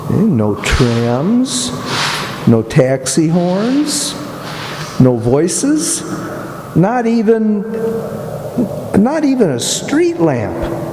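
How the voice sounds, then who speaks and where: Man acting a high-voiced, excitable young husband, echoey. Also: heavily acted. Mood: uneasy, curious. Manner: dramatic, halting, emphatic. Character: skeptical, excitable young husband, defiant visitor. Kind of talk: stagey radio mystery drama acting. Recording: echoey hall recording with background noise.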